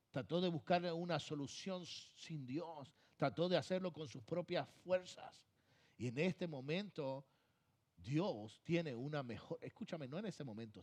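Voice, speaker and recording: A man with a Puerto Rican accent preaching a sermon as pastor, pushing phrases hard and then letting them fall soft. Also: mid-range voice. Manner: emphatic preaching, swings from loud to soft trailing phrases.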